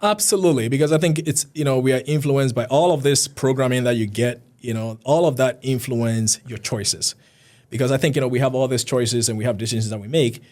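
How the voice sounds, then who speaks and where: A man's voice: mid-range voice, fairly quick, darkish tone. A man, a physician guest talking earnestly and often repeating himself in a casual interview. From Ghana.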